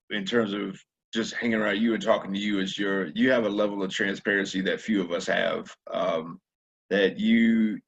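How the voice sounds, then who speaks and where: A man's voice: lowish voice, muffled recording. A man hosting a casual interview over a webinar call, rambling with stammered restarts and slangy phrasing. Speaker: host. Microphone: webinar video call.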